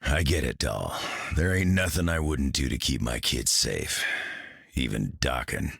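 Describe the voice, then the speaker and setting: A gruff American veteran soldier for a video game. His voice is extremely low and gravelly. He speaks in a tough, plain, steadfast way. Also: lively intonation, a little slow, fairly bright tone, no room sound, studio quality, exaggerated voice. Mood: gruff, sincere. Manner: plain-spoken, colloquial, tough. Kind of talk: video game character voice acting.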